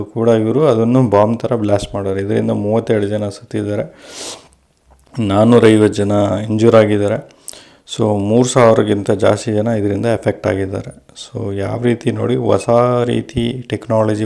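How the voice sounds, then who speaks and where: A man's voice: on the low side, creaky, mellow. A man from India presents an informal news explainer, mixing in English terms. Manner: colloquial, mixes in English terms. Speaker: video presenter.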